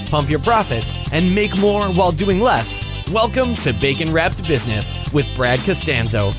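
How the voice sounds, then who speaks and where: A man reading a scripted podcast intro in a lively promo style. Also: high voice. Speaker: show intro announcer. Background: music.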